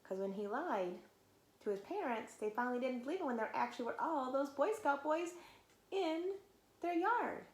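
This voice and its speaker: A woman teacher reading a storybook aloud to children, animated and talking to them directly. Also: sits high, moderate pace. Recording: roomy echo, faint background noise.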